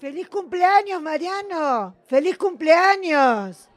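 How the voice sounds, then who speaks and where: A woman's voice: very high voice, animated, slow, no echo, some chatter behind, heavily acted. An actress playing a kindly, inquisitive older mother in an audio drama, with an Argentine accent. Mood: cheerful. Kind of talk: naturalistic audio fiction acting.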